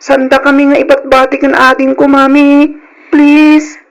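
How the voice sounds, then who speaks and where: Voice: sits high, flat delivery, measured pace, low-quality recording. A performer acting in an old Ilocano radio drama as a small boy clinging to his mother, whiny and insistent. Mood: pleading.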